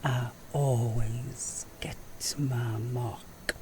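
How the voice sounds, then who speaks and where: A woman's voice: very deep voice, steady tone, drawn-out words, background noise, exaggerated voice. A woman playing a sly, venomous bounty hunter in a Western audio drama, with a sugary, mocking Southern American drawl. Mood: silky menace. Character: sly, venomous female rival bounty hunter in Old West. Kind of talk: Western audio roleplay acting.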